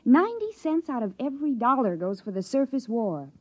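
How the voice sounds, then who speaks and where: Earnest young American woman, 1950s radio play: lightly high, animated, frank, intense, dry sound. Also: fairly quick, no echo. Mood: bitter. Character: earnest, questioning young woman scientist, weary of war. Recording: dull vintage 1950s radio recording.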